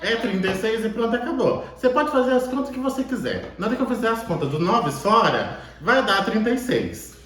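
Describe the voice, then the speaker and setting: Brazilian male podcast co-host, forties, jokey and animated, swinging intonation, strong room echo. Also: high voice, brisk, hardly any pauses. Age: middle-aged. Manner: joking. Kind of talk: casual chat. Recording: very echoey room.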